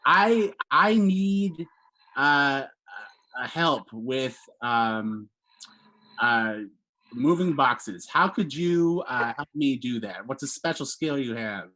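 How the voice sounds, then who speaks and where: Halting, slow-talking male improv host. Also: mid-range voice, animated, pushed and bright, poor audio. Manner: hesitant, halting, full of fillers. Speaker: game show host. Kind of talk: improv comedy. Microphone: home video call.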